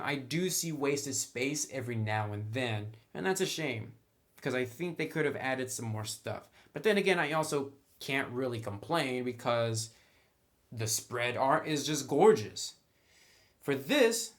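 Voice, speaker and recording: A man hosting a video review, speaking casually with emphatic, informal opinions. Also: mid-range voice. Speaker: game reviewer, video host.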